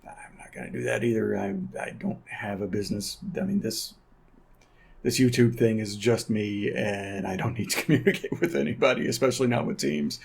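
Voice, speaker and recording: A man's voice: on the low side, normal speed, gravelly, breathy edge. A man giving casual, unscripted tech commentary as a video host, in a conversational, opinionated way.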